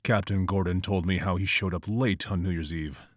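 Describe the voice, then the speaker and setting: An actor playing a grim, brooding vigilante detective, terse and measured, in a scripted motion comic. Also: male voice, sits low, steady tone, dry recording, clean studio sound.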